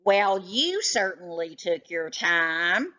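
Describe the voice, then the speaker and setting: A woman's voice: on the low side, very animated, slow pace, sharp tone, dull audio, exaggerated voice. A woman voicing a scornful, know-it-all male cat with a snappish, pedantic manner in a children's storybook. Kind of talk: children's storybook read-aloud.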